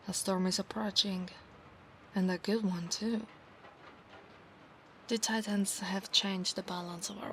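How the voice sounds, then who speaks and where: A woman with an Italian accent playing a proud, obsessive female centaur knight in a soft-spoken, formal ASMR roleplay, often dropping into breathy whispers. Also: lowish voice. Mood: conversational. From Italy. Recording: clear background noise, ambience.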